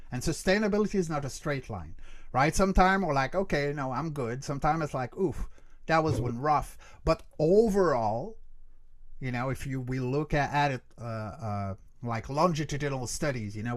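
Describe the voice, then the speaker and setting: A middle-aged man speaking as an expert interview guest, explaining earnestly and emphatically and often checking that the listener follows. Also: medium pitch. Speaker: interview guest, creature voice actor and vocal coach.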